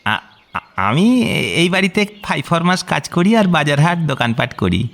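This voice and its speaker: A man's voice: fairly high pitch, very animated, a bit bright, full character voice. An actor playing a very old, humble house servant who stammers and halts through his words in a radio drama. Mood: nervous, humble. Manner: stammering, halting. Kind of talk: radio audio drama acting.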